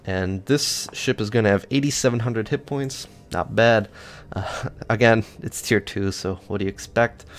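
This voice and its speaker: A man's voice: fairly low pitch, normal speed. A man hosting a gaming channel, casually walking through ship statistics in a rambling, offhand way with many fillers and restarts. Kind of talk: casual gameplay stats walkthrough.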